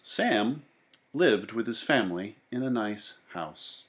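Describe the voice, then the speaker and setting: A man shares a picture book aloud in an expressive, deliberate manner. His pace is slow and his intonation swings widely, whether he is reading or chatting casually about the book. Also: on the low side. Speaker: children's book reader.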